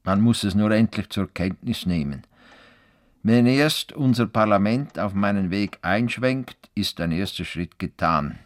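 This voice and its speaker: An actor playing a stubborn, idealistic older farmer in a radio play, speaking earnestly and formally with a Swiss German accent. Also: male voice, fairly low pitch, unhurried, natural voice. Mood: solemn, convinced. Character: stubborn, idealistic male farmer with grand plans.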